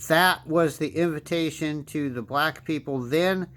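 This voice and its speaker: A man's voice: fairly high pitch, unhurried, fairly bright tone. An American man in his fifties giving an emphatic, lecturing opinion monologue as a political commentator, repeating phrases for stress. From United States. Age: 50s.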